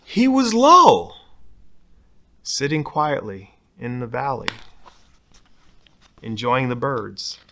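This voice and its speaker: Man, children's story reader, slow pace, bright forceful voice, dramatic word emphasis. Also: mid-range voice, slight background noise. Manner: expressive, dramatic emphasis on key words, pausing to point out pictures. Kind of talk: children's picture-book read-aloud.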